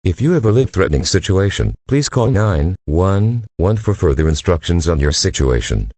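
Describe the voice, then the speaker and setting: A man's voice: deep voice, very animated, normal speed, warm tone, slight background noise. A man reading a scripted emergency alert in a formal, impersonal, mechanical manner.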